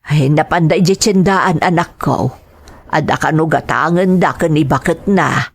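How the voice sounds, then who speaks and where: A woman playing a worried, low-voiced Ilocano mother, creaky. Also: a little slow, heavily acted. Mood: resigned, sorrowful. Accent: Filipino, Ilocano. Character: worried, loving mother of a daughter going abroad. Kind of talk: dramatized audio drama acting.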